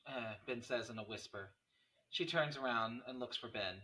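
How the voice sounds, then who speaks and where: A man's voice: medium pitch, normal speed, pushed and bright, rough recording. A man reading stage directions aloud as narrator of a screenplay table read.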